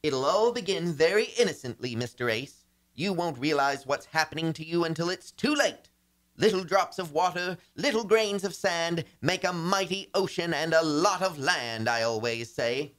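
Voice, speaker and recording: An actor doing a fussy middle-aged fellow in an old radio comedy, pitched high for a man. He declaims in a sententious sing-song, like a long-suffering husband reciting mottos. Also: normal speed, fairly bright tone, natural voice.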